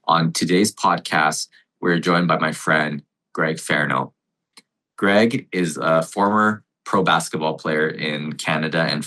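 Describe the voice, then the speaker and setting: A man hosting a podcast, reading a measured intro and then interviewing his guest in a friendly way. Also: a bass voice, pushed and bright. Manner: friendly, measured, some fillers. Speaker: podcast host.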